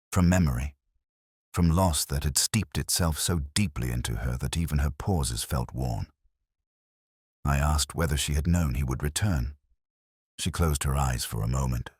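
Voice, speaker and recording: A man narrating a literary detective story in the first person, with a solemn, measured, brooding delivery. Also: rumbling low, darkish tone, breathy edge, no room sound, clean studio sound.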